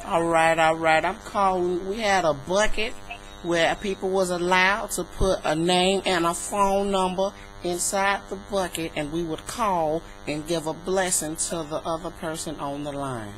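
A woman's voice: fairly low pitch, steady tone, unhurried, faint music behind, natural voice. A woman putting on a folksy elderly church lady. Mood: sweetly pious. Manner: folksy, sugary endearments, preachy. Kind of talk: improvised prank phone call. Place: indoor room at home. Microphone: webcam microphone. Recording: noisy, humming webcam recording.

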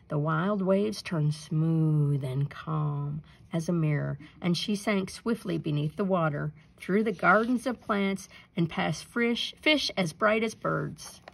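A woman reading a children's folktale aloud in an expressive, deliberate storytelling manner. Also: on the low side, vocal fry. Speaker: storybook reader. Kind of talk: scripted narration, children's folktale read aloud.

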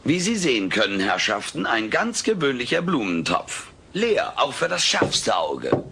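A man's voice: medium pitch, huge pitch swings, a little slow, vocal fry, full character voice. A man voicing a cheeky furry alien who boasts as an amateur magician in showy, pompous patter, in a comedic radio play. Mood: showy, boastful. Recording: noisy old cassette transfer.

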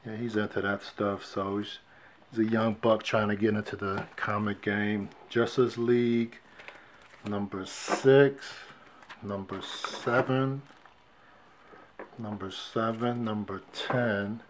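A man casually showing his comic book finds on camera, calmly counting off issue numbers one by one at a shelf indoors. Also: fairly low pitch, slow pace, noisy background. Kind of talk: casual show-and-tell vlog. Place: indoors at a wooden shelf display. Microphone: fixed camera, hands only in frame.

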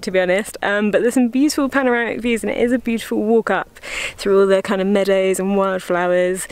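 A woman's voice: mid-range voice, a little mellow, no room sound. A young woman vlogging casually to a handheld camera, chatty and upbeat, talking in a car and then outdoors on hiking trails. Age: young adult. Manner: chatty, upbeat, laughs often. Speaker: travel vlogger. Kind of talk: casual vlog talk to camera. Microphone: handheld camera at arm's length.

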